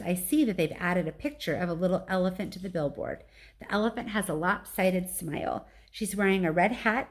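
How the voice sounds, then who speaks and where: Woman teacher reading a storybook aloud. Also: fairly low pitch. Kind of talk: storybook read-aloud narration.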